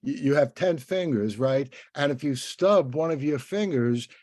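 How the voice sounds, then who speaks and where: A man hosting a casual podcast interview, talking in a halting, rambling, encouraging way. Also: mid-range voice, animated, darkish tone, no room sound, studio quality. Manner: halting, rambling, with many repeated word starts.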